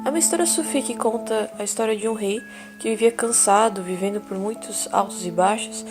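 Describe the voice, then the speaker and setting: An actress playing a calm woman therapist in an audio drama, asking her patient soft, probing questions at a mid pitch. Music plays clearly behind her. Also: brisk, close to an everyday voice.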